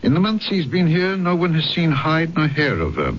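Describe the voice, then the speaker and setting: An actor playing a shrewd, courteous Corsican police magistrate who narrates and converses in an old-time radio drama, urbane and inquisitive. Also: male voice, a little high, huge pitch swings, moderate pace, highs cut off, slight background noise. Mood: measured, intrigued. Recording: band-limited radio drama recording with music.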